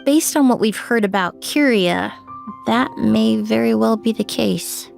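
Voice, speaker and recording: A woman voicing a composed young female investigator in a video game, speaking in a measured, articulate, analytical way. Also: on the high side, creaky, a little mellow. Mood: gentle, reassuring. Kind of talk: scripted game dialogue. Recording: background music under the voice. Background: music.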